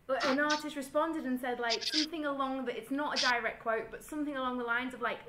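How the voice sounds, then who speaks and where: A woman's voice: high voice, steady tone, fast talker. A woman in her thirties hosting a video call panel, chatty and full of fillers. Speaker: festival host moderating panel. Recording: echoey room with faint noise.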